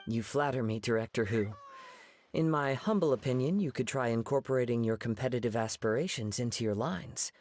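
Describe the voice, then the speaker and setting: A man's voice: medium pitch, fairly quick, a little mellow, dry recording, close to an everyday voice. A man voicing a humble, poetic young wandering samurai in a video game, polite, composed and formal.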